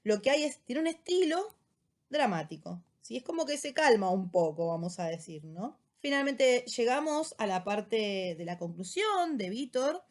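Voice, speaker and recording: An Argentine woman teacher talks through a reading in an easygoing explanatory way, tossing in casual slang. Her voice sits a little high, and she keeps checking in with quick tag questions as she goes. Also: big pitch swings. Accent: Argentine Spanish. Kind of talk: recorded lecture summary.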